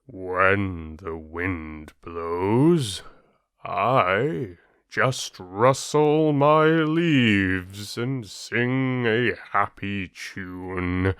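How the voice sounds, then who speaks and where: A man voicing a giant oak tree in a storybook, proud and self-assured, with a stately, drawn-out delivery. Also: lowish voice, a bit bright, clean tone, no room sound, studio quality, heavily acted. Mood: proud, content.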